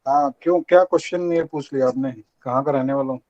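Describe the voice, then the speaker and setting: A man's voice: medium pitch, quick pace, darkish tone. Older man giving earnest advice over a dull online call. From Rajasthan, India. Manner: earnest, repeats points and asks students to answer back. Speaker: guest speaker at a college workshop. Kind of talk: motivational talk to students. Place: online video meeting.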